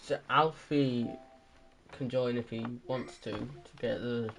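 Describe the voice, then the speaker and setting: A man's voice: mid-range voice, fairly even intonation, takes it slowly, a bit bright, faint music behind. A man hosting a casual stream with a cold, talking in a rambling, unsure way with many restarts. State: has a cold, a bit congested. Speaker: stream host. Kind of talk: casual chat.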